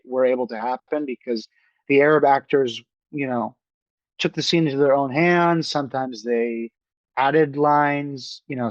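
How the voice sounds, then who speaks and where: A man's voice: medium pitch, dry recording. A young man talks casually as an interview guest. He starts over often and searches for words between fillers. The sound is dry and a little dull, like a modest lo-fi setup. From New York. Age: young adult. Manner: halting, many restarts and fillers. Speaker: film director guest.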